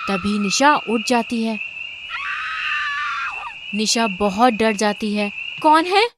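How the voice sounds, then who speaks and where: A woman's voice: medium pitch, fairly even intonation, takes it slowly, shouting, noisy background. A woman reading scripted story narration.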